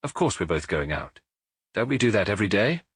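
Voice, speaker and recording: Middle-aged detective inspector read by a male narrator, calm, understated, low and a little husky. Audiobook. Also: fairly quick, no room sound, studio quality, heavily acted. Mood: mildly defensive. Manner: laconic, understated. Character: famous middle-aged French police inspector, calm and dry.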